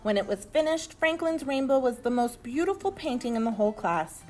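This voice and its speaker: A woman reads a children's storybook in an expressive, deliberate storytelling manner. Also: on the high side, soft background music. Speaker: storybook reader. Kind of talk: animated children's story narration.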